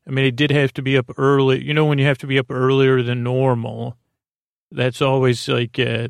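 Man, podcast host, creaky mid-pitched voice, meandering sleepy-time talk, halting delivery, very dry sound. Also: no echo. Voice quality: gravelly, creaky voice. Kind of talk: rambling bedtime monologue.

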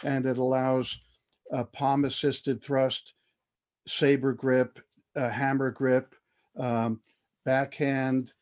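An older man telling his life story as an interview guest, measured and thoughtful, with frequent fillers and restarts. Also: medium pitch, flat delivery, a little mellow.

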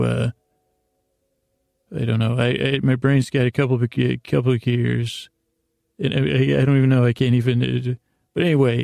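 A man with a mid-range voice full of heavy vocal fry speaks in a soothing bedtime-podcast monologue. He wanders and stammers, restarting phrases and interrupting himself. The sound is dry, with no room around it. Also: mid-range voice, a little mellow, no room sound. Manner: halting, meandering, with repeated stammered words and self-interruptions. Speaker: host of a bedtime-story podcast.

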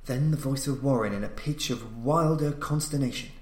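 A man narrates gothic horror in a mid-pitched voice, in a roomy, echoing recording. His delivery is theatrical and solemn, built for suspense like a dramatic audiobook reading. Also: normal speed, darkish tone. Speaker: narrator, podcast reader.

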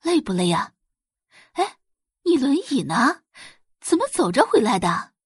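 A woman's voice: high-pitched, sing-song, unhurried, slightly rough, no echo, clean studio sound, pitched above the performer's own voice, exaggerated voice. A woman voicing a doting rich mother in an audio drama, fussy, theatrical and exaggerated in every reaction. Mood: fussing, worried. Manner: exaggerated, gushing, fretful.

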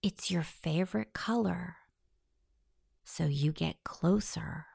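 A woman guiding a scripted hypnosis meditation in a soothing, softly breathy delivery. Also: on the low side, slow pace, no room sound, studio quality.

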